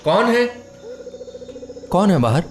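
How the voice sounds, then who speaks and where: Aloof, philosophical forest recluse. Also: male voice, sits high, sing-song, slow pace, background noise, full character voice. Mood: wary. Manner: formal, literary, argumentative diction. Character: aloof, philosophical man living alone in a forest hut. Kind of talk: radio play acting.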